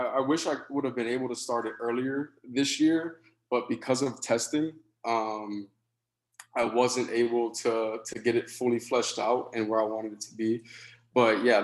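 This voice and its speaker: Thoughtful man on an echoey video call. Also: mid-range voice. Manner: thoughtful, fills pauses with "right" and "you know". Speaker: teacher presenting his project.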